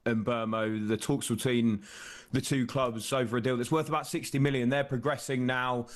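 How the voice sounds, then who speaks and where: A man's voice: medium pitch, fairly even intonation, normal speed. A man working as a football reporter, explaining transfer news in an off-the-cuff, conversational broadcast discussion.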